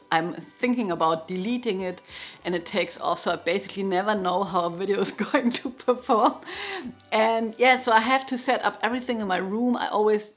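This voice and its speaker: German-accented woman musing candidly. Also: medium pitch. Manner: thoughtful, candid, self-deprecating, chuckles now and then. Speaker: jazz guitar teacher and YouTuber. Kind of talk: reflective casual monologue. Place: her home room.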